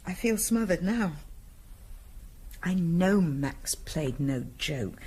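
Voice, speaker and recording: An actress playing a tense, suspicious wife in a 1970s radio drama, pointed and insistent, repeating herself. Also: female voice, fairly low pitch, expressive, slow pace, warm tone, husky, full character voice. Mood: agitated, insistent.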